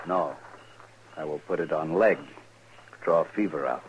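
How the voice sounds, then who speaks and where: A man's voice: extremely low pitch, unhurried. An actor playing a stoic, loyal runaway man of few words in a 1950s radio western, speaking in clipped, broken-English phrases. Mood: commanding, calm. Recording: muffled, noisy 1950s radio recording.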